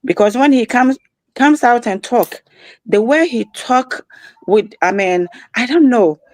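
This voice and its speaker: A Ghanaian woman speaks slowly and haltingly, with a sense of grievance. Her voice sits slightly high. The sound is dry and close. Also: lively intonation, darkish tone, no echo, rough recording. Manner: slow, aggrieved, halting. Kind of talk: casual discussion.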